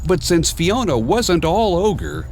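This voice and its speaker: A man reading a children's storybook aloud in a measured, expressive storytelling manner, with clear and simple phrasing. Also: high voice, faint music behind. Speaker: storybook narrator. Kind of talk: scripted children's read-along storybook narration.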